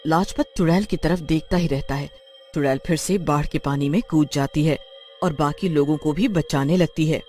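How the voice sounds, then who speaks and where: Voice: mid-range voice, moderate pace, darkish tone, soft background music. A narrator telling a scripted story in a dramatic storytelling manner.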